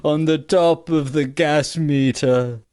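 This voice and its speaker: An actor playing an earnest, excitable male suitor in a comic parody play, fussy, exclamatory and melodramatic. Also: medium pitch, slow, no echo, clean studio sound, close to an everyday voice. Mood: fearful, solemn. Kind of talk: comic stage-play acting.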